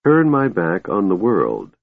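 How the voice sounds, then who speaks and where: A man voicing an ageless male chronicler in a fantasy audiobook, cold and grave, declaiming in a slow, solemn way with anger held in. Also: sits low, huge pitch swings, vocal fry, full character voice. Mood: cold, measured. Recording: muffled phone-like audio with room echo.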